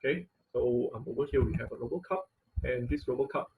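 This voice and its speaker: A man giving an informal live technical lesson as an instructor, speaking haltingly, repeating phrases and often checking in with "okay" and "right". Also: mid-range voice, vocal fry, narrow, tinny audio, background noise. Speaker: online class instructor.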